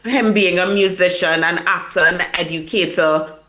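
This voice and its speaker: A woman's voice: lowish voice, moderate pace, sharp tone. A woman publicist answering interview questions over a remote link, earnest and reflective, with fillers and restarts. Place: hotel or room in New York, remote link.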